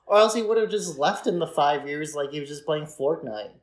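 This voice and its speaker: A man's voice: fairly high pitch, lively intonation, a little fast. A man co-hosting a podcast, talking in a casual, conversational and hedging way about films. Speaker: podcast co-host. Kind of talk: casual chat, film discussion.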